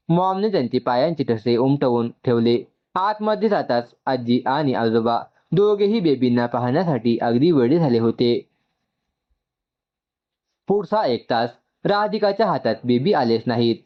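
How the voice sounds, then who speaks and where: Guy w narrating a heartfelt story, mid voice, kinda lo-fi sound. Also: medium pitch. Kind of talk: scripted narration of an emotional story.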